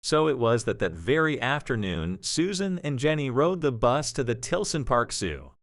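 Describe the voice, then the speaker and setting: A man narrating a scripted dramatic story in a measured, expressive storytelling manner. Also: mid-range voice, no room sound.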